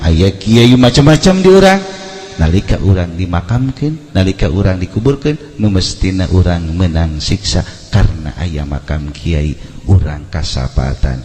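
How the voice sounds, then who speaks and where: A man's voice: low-pitched, animated, unhurried, a little mellow. Reverberant male preacher telling a sermon story. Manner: theatrical, switching between narration and heightened quoted speech. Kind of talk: storytelling sermon to a congregation, acting out dialogue.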